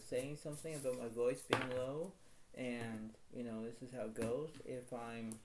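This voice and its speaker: A man giving a casual tarot reading to his viewers. He speaks quietly and rambles, circling back to the same stock phrases. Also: very noisy. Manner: talks quietly, apologizes for his low voice. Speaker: tarot card reader.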